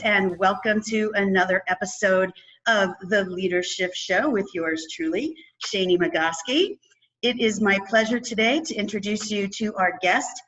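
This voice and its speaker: An upbeat woman hosting an interview podcast. She talks in a lively, chatty way and laughs easily between her sentences. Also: medium pitch, poor audio. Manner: energetic, chatty, laughs often. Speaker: podcast host.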